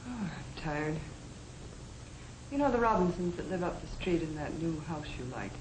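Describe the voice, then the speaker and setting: An actress playing a spirited young American working wife who coaxes and argues, in the style of a 1950 educational film. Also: female voice, sits low, lively intonation, moderate pace. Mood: mellow. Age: young adult. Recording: echoey, faintly noisy 1950 film soundtrack.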